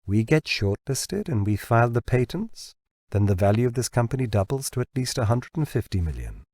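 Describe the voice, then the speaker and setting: A man voicing a shrewd biotech researcher in an audiobook, pitching insistently to his boss. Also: big pitch swings, darkish tone, no room sound, clean studio sound. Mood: calculating. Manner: deliberate, insistent salesmanship. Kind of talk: audiobook character dialogue.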